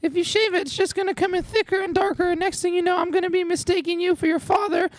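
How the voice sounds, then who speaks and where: A woman's voice: very high voice, fairly even intonation, fast. A woman playing a doting, nosy middle-aged mother in a live radio comedy, gushing and fussing over her family. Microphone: stand microphone.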